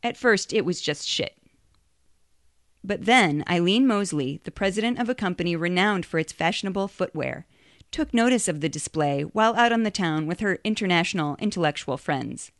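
A woman's voice: lowish voice, lively intonation, normal speed, dry recording, studio quality. A woman narrating a short story in a conversational first-person style.

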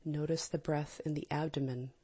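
A woman leading a guided meditation in a calm, gentle, soft and breathy manner, with long pauses. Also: low voice. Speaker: meditation teacher. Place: live small group session.

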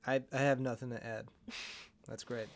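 A man guesting on a podcast, speaking in a low-key way with dry humor. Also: level tone, normal speed. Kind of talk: casual chat.

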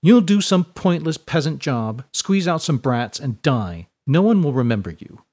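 A man's voice: medium pitch, lively intonation, moderate pace, a little mellow, close to an everyday voice. A man voicing a smug young aristocratic schoolboy who bullies with taunting contempt, in a storybook narration. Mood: contemptuous.

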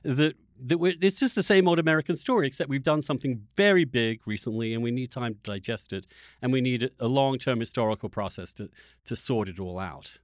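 Guy hosting a politics podcast, mid pitch, emphatic but halting, really dry audio. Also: no echo. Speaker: podcast host and interviewer. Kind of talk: conversational political discussion.

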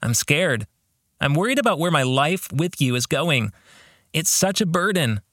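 A man's voice: a little high, big pitch swings, measured pace, a bit bright, no room sound. A man voicing an anxious, weary young seminary student who prays in a pleading, troubled way, in a novel reading. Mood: weary, scared. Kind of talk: audiobook-style novel reading.

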